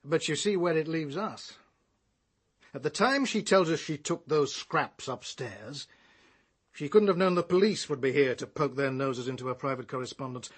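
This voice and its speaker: Radio drama senior detective: British, middle-aged, shrewd, ironic, slightly high register. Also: male voice, slightly rough. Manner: urbane, wordy, ironic. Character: shrewd, wry senior male police detective.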